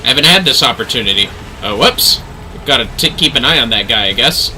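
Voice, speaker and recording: Man, video game streamer, casual commentary, very crisp bright tone, faint background noise. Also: medium pitch, expressive, fairly quick, poor audio.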